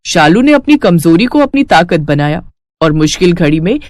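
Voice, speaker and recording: A woman's voice: fairly low pitch, big pitch swings, normal speed, a little mellow, dry recording. A woman narrating a scripted cartoon family story.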